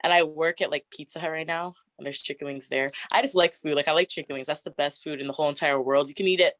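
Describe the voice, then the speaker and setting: Deep raspy young woman, chatty. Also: steady tone, fast, a bit bright, dry recording, clean studio sound. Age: 20s. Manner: chatty, frequent "like" fillers, laughs often. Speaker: interview guest. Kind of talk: casual get-to-know-me interview.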